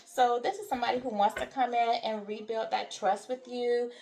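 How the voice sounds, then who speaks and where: Woman giving a tarot reading, hoarse. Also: on the high side, fairly even intonation, normal speed, a little mellow, a little hiss or hum. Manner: repetitive, reassuring with frequent "all right? okay?". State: voice going hoarse. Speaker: tarot card reader. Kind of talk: spoken tarot reading to viewers.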